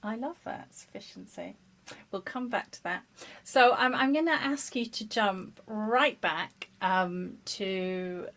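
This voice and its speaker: A woman's voice: medium pitch, fairly bright tone. A woman hosting an interview podcast, introducing and questioning a guest in a conversational way. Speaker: podcast host.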